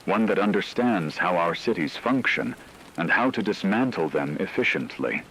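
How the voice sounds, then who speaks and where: A man acting as a radio news anchor, delivering scripted breaking news in a grave, formal, measured manner. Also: sits low, animated, fairly bright tone, a little hiss or hum, rough recording. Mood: grave, urgent. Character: grave male radio newsman reporting an apocalypse.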